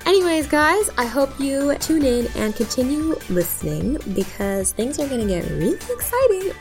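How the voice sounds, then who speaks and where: A woman's voice: high voice, sing-song, moderate pace, a little mellow, faint music behind. A woman voice actor speaking casually to listeners as she introduces herself and her work. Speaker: voice actor and dialect coach.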